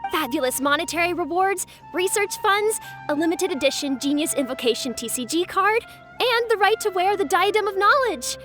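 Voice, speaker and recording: A woman's voice: very high voice, animated, normal speed, fairly bright tone, faint music behind. A woman voicing an enthusiastic, formal championship announcer in a video game, polished and ceremonious. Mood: formal, proud. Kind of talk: video game character acting.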